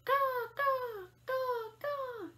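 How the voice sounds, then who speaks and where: Woman doing shrill, lively storybook bird calls. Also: drawn-out words, heavily acted. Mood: bright, loud. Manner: exaggerated, repetitive imitation bird calls. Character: lively storybook songbirds calling in repeated chirps, coos and caws.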